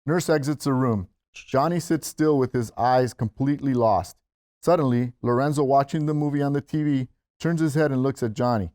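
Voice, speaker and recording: A man's voice: mid-range voice, moderate pace, darkish tone. A man reading scripted stage directions as narrator at a table read.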